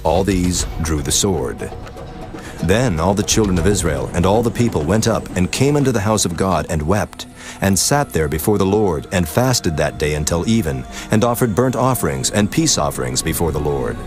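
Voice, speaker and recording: A man narrating scripture from a script in a solemn, measured, formal manner. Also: deep voice, expressive. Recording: music laid under the voice.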